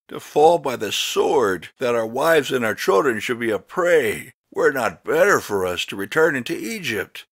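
A man reading scripture as a narrator in a solemn, dramatic style, with measured, emphatic, declamatory delivery. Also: medium pitch, a bit bright, no echo, clean studio sound. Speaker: Bible narrator.

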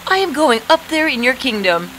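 A woman voicing a gentle, confident young princess in a fairy-tale cartoon, expressive and coaxing as she speaks. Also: high voice, a little fast, slight background noise, rough recording. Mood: gentle, instructing. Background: prominent background music.

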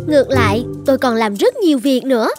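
Cartoon folktale demon wife, really high coaxing theatrical voice, music playing behind. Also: female voice, animated, fast. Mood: demure, coaxing. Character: sweet young wife, secretly a gluttonous two-mouthed female demon. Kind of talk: animated folktale acting.

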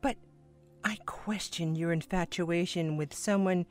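Woman acting a slow, measured royal attendant. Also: lowish voice, a little mellow, faint music behind, close to an everyday voice. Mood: skeptical. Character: composed, skeptical motherly royal attendant woman. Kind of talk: audio drama acting.

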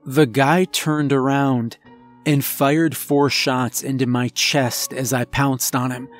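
A man voicing a remorseful werewolf husband and father confessing in a grave, halting, emotional horror story. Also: mid-range voice, steady tone, lightly acted. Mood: grim, intense. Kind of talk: dramatic first-person horror storytelling. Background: background music.

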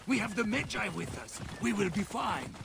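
A male actor playing a loyal, fervent horse rider in a video game, with a gravelly voice. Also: high voice, big pitch swings, normal speed, full character voice. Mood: reassuring, scornful. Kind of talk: dramatic video game acting. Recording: horse and outdoor ambience noise.

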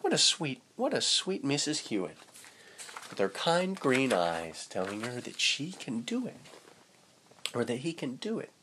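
A young man reads a storybook to children in an expressive, playful way. His pace is unhurried and his intonation rises and falls widely, with casual asides along the way. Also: mid-range voice, slight background noise, rough recording. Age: young adult. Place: indoors, small room with curtain.

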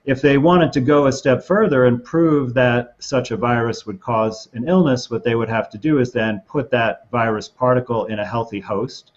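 A middle-aged man explaining something methodically as an interview guest, in a measured way, over a video call. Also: medium pitch. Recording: slightly dull video call audio.

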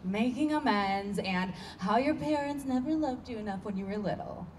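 A woman's voice: mid-range voice, lively intonation, normal speed, close to an everyday voice. A woman in her thirties in a staged play reading, playing a blunt, dismissive, seductive lover with a casual assurance. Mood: insistent, blunt. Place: stage before a painted block wall. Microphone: handheld microphone. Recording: distant stage pickup with ambient noise.

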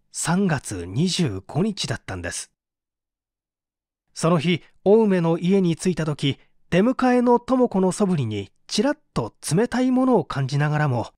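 A man's voice: fairly high pitch, a little mellow, no room sound, clean studio sound. A man playing an anguished painter confessing to an interrogator in a polite, emotive radio-drama reading. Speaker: narrator and reader.

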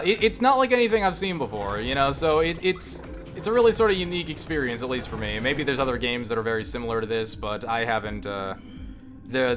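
A man giving casual, profane gameplay commentary, enthusiastic but halting, often restarting his phrases. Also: medium pitch, fairly bright tone. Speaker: gamer and game reviewer. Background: game music and sound.

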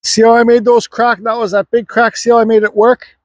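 A man's voice: very high voice, little pitch movement, fairly quick, no room sound, clean studio sound. A middle-aged man giving an offhand, chatty chainsaw carving lesson in an outdoor yard, recorded on a nearby camera mic. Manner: offhand, chatty, talking while working. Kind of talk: casual how-to demonstration.

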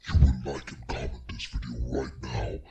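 An evil demon monster speaks with commanding menace. The voice is artificially dropped to an abyssal depth, badly husky and nearly monotone, a demonic growl made with effects. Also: male voice, soft background music, heavily acted. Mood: menacing, commanding. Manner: slow, demanding, menacing. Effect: pitch shifted far down, later with reverb.

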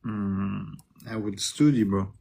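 A man's voice: on the low side, steady tone, slow, gravelly, a little hiss or hum. A young man chatting casually with friends, recorded on a phone in a small room. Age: young adult. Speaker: footballer. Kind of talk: casual chat. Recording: loud music playing over speech. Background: loud music from a speaker.

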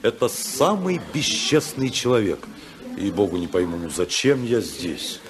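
Earnest rebel politician, fairly low, animated, blunt, uneasy, 1950s radio drama. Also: male voice, measured pace, crowd noise. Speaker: actor in a radio play.

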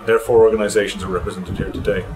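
A man in his thirties, a programme director, giving a steady, measured statement straight to camera outdoors. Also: lowish voice. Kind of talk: scripted interview to camera. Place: outdoors by a building with shrubs. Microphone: close mic, framed head and shoulders. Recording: music bed under the voice.

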